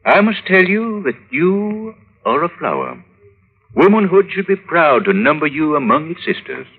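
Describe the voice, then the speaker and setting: A courtly, deferential lawyer in a vintage radio play, flattering and evasive. His slightly husky voice swings widely in pitch through a thin, phone-like old recording. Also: normal speed, heavily acted. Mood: meek, courtly. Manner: courtly, deferential, clipped replies. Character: meek, flattering married lawyer, a wavering suitor. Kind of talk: old-time radio drama acting.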